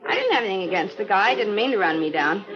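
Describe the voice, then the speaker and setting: A woman's voice: medium pitch, animated, rapid-fire, close to an everyday voice. An American actress playing a boozy, evasive young woman in a 1950s radio crime drama, wheedling, coaxing and pleading. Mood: wheedling, defensive. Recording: muffled old radio recording with music.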